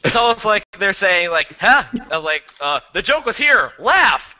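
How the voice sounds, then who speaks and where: A man's voice: sits high, sing-song, moderate pace, bright, forceful tone, slight background noise. A man in a casual, opinionated podcast discussion, speaking in an exasperated, emphatic and mocking way. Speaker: podcast panelist.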